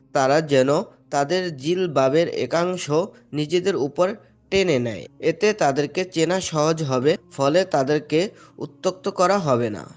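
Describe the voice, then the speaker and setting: A man's voice: fairly high pitch, close to an everyday voice. A man playing a devout father in an Islamic moral cartoon, speaking earnestly and patiently as he instructs his children. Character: devout, gently admonishing father of two.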